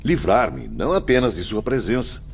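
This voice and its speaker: A man's voice: mid-range voice, very animated, moderate pace, a little hiss or hum, close to an everyday voice. A man playing a fearful, troubled spirit student in an audiobook drama, subdued and full of dread. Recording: dull lo-fi, faint background ambience.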